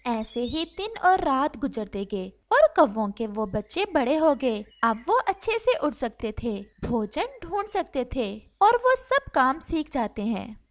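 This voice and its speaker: Lady narrating a kids cartoon story, high voice, scripted storyteller style. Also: very animated, a little slow, hardly any pauses.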